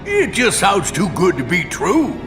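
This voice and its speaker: A man voicing a big, oafish cartoon villain in a video game, gravelly, brash and slangy. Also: sits high, huge pitch swings, a little slow, music in the background, exaggerated voice. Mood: greedy, doubtful.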